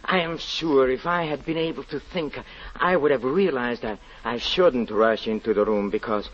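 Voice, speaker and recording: Man acting a low-voiced, anguished, haunted husband, old radio drama. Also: lightly acted. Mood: desperate. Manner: halting, stammering, pleading, theatrical. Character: anguished, haunted European man, a grieving husband confessing a tragedy. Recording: muffled, noisy old radio recording.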